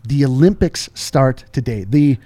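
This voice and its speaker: A man's voice: mid-range voice, animated, unhurried, dark tone, no room sound. A man co-hosting a casual sports betting podcast, rambling and jokey, with many ums and restarts. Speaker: podcast co-host.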